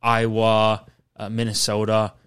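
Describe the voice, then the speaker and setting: A young man co-hosting a casual sports talk show, relaxed and good-humored while defending his side. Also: lowish voice, a little slow, clean tone. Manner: easygoing, defends his side, laughs often. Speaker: sports podcast co-host.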